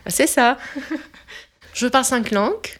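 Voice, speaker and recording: A woman in her twenties from Italy, speaking French as a second language with an Italian accent, answering interview questions with many pauses and fillers. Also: a little high, fairly bright tone. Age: 20s.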